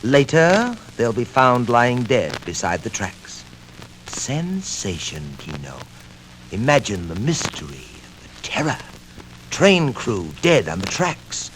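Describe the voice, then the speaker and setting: An actor in a vintage radio serial playing a smug, scheming crime boss with a deliberate, theatrical delivery, on a noisy old record. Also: male voice, medium pitch, very animated, a bit bright. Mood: sinister. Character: cold, scheming criminal boss, menacingly suave man. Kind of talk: 1940s radio serial acting.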